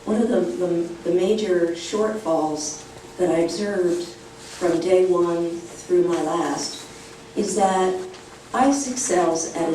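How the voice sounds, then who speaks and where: A woman speaking on a conference panel in a measured, deliberate way. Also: lowish voice, a little mellow, a little hiss or hum. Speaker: panelist, former official. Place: university conference room. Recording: echoey hall, distant mic.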